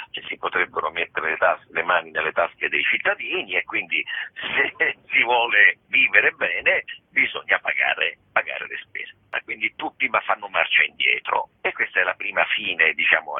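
A man's voice: low-pitched, breathy edge, no room sound. A man explaining things conversationally in a radio interview, in a discursive, emphatic way with rhetorical questions and repetition. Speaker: theatre practitioner being interviewed. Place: phone call into a radio programme. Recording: muffled, thin telephone line on radio.

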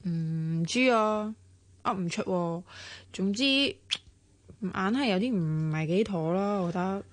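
A mid-pitched, dry-sounding voice, a young friend who doubts the plan and teases him. She speaks with a Hong Kong Cantonese accent in a radio drama. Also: animated, takes it slowly, dry recording. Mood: doubtful, teasing. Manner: doubtful, teasing. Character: skeptical, teasing young woman friend.

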